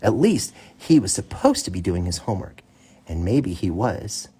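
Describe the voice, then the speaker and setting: A man with a husky voice, a father reading a storybook aloud to his child with casual asides. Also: low-pitched, sing-song, normal speed, warm tone. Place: child's bedroom, indoors.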